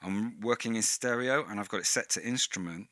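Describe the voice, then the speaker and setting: Man, lowish bright voice, casual tutorial host, close on a dry vocal mic. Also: fairly quick, no echo. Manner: close-miked, talks right on the mic at times. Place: indoor room, dim light. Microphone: vocal mic with pop screen through mixer.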